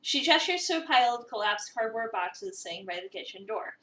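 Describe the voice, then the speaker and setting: A woman reading a visual novel as an eager, awkward new cafe employee, chatty, expressive and self-deprecating. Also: a little high, a little fast. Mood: eager, flustered. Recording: roomy, a bit far from the mic.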